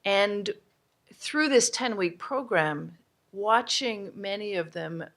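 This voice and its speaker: A woman's voice: mid-range voice, lively intonation, slow, a bit bright. A woman moderating a live audience discussion at a conference, energetically prompting the room for takeaways. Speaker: summit facilitator.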